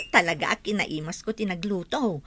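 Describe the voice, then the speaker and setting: A woman's voice: medium pitch, very animated, a little slow, bright, forceful tone. Woman acting a grateful household cook, slightly noisy recording. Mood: pleased, deferential. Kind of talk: radio drama acting in Ilocano.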